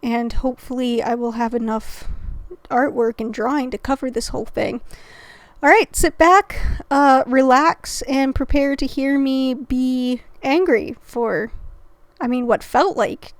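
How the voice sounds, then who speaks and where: A woman hosting a reaction video, talking in a rambling, self-interrupting and passionate way, clearing her throat as she recovers from an illness. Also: sits high, unhurried, dry recording. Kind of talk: casual commentary.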